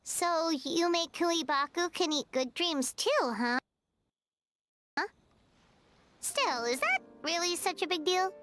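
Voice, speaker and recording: Tiny childlike fairy companion, video game, extremely high squeaky voice, lively swooping pitch, blunt, curious, music behind. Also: female voice, slow, long pauses, exaggerated voice. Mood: curious, chatty. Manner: refers to herself in third person, exclamatory. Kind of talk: scripted video game voice acting. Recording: music under the voice.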